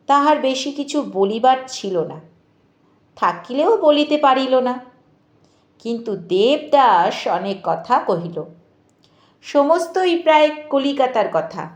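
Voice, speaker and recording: A woman narrating a literary novel in a measured, expressive way with deliberate pauses. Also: a little high. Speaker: audiobook narrator. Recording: echoey voice with music behind. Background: music.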